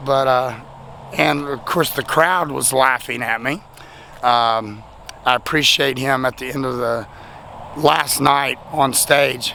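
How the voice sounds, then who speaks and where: A man's voice: mid-range voice, gravelly, bright, forceful tone. A man, his voice nearly gone from talking all weekend, chatting in a rambling, self-deprecating way at a roadside rest stop. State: voice nearly lost, hoarse. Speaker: vlogger. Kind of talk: casual thank-you vlog. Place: outdoors at a highway rest stop, traffic nearby. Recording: outdoor traffic noise.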